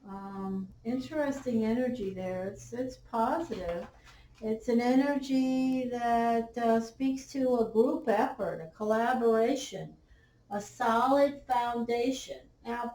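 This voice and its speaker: Casting: tarot reader, woman, raised pitch, slightly hoarse, halting with fillers, roomy sound off the mic. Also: a little mellow, distant mic, slight background noise. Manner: slow, halting, many fillers. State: slightly losing her voice. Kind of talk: unhurried tarot reading. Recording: roomy, off-mic, faint ambience.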